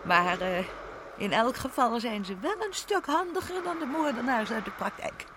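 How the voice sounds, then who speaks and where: Courteous, hesitant retired schoolmistress, radio drama, very varied intonation, clearly noisy recording. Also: female voice, a little high, sing-song, moderate pace. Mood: hesitant, defensive. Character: polite, bookish retired schoolmistress nearing fifty.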